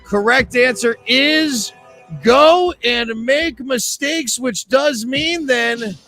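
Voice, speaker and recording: A man's voice: extremely high pitch, takes it slowly, pushed and bright, soft background music. A man hosting a live trivia game, joking with players and rambling through sponsor reads.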